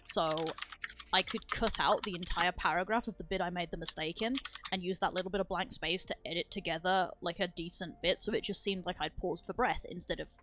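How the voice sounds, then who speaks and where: A woman's voice: fairly low pitch, fairly even intonation, a little fast, noisy background. Casual, laughing woman on a stream. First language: not Swedish. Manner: rambling, laughs often, swears casually. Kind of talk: casual chat on a writing stream. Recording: dull lo-fi sound with faint music.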